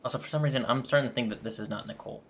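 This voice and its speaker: A man's voice: mid-range voice, rapid-fire, a crowd in the background. A man giving casual game playthrough commentary as host, musing aloud and reading out choices. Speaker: gaming video host. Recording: game music behind voice.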